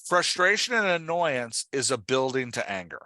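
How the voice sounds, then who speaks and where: A man's voice: mid-range voice, very animated, normal speed, sharp tone, no room sound. A man hosting and coaching in a conversational way, explaining things emphatically and asking leading questions. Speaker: podcast host and writing coach.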